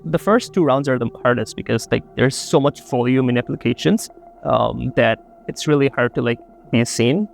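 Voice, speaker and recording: A young man from Bangladesh, a college student, answering interview questions in a relaxed, rambling way with lots of fillers. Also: medium pitch, gravelly. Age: young adult.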